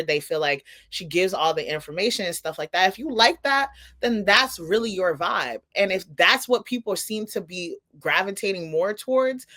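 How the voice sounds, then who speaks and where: A woman co-hosting a podcast, explaining her opinion in a casual, emphatic way and restating points to make them clear. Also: lowish voice, a bit bright. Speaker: podcast co-host.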